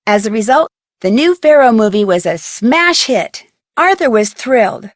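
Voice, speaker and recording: A woman's voice: a little high, expressive, normal speed, no room sound, studio quality, close to an everyday voice. An actress playing an upbeat young amateur detective, chatty and wry, narrating her case in a mystery game. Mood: wry, satisfied. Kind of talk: scripted first-person narration for a mystery game.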